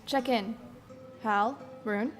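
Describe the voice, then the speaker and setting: A woman's voice: a little high, drawn-out words, faint music behind. A woman playing a stern, short-fused team boss in an audio drama, with a curt, commanding manner.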